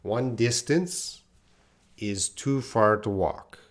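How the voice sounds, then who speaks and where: A slow, unhurried man from Canada teaches English grammar in a live online class. He explains the rules patiently and stops to answer viewers' comments as they come in. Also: on the low side.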